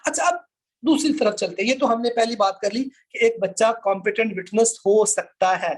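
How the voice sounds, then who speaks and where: A man's voice: sits high, normal speed. A man with a Pakistani accent lecturing informally to a class, explaining step by step and repeating his points. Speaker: law lecturer.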